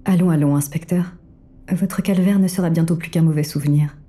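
An actress playing a courteous, mysterious female operative in an audio thriller, speaking in a formal, measured way. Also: deep voice, steady tone, mellow, lightly acted. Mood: soothing, condescending.

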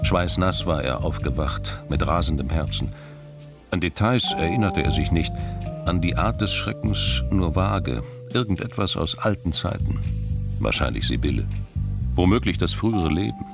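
Deep, gravelly male crime narrator. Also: lively intonation, moderate pace, music in the background. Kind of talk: scripted literary crime narration.

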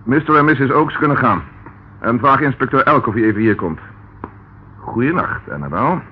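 An actor playing a calm, dryly ironic male police inspector in a radio drama. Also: mid-range voice, moderate pace, close to an everyday voice. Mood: assured. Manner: dry, composed, ironic. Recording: tinny, noisy old radio recording.

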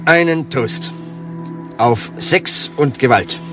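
An actor playing a courteous, eccentric gentleman in a satirical radio play, speaking in an affected, theatrical and politely inquiring way. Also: mid-range voice, takes it slowly, over music, close to an everyday voice. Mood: effusive. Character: genteel, eccentric gentleman, politely puzzled admirer of the playwright.

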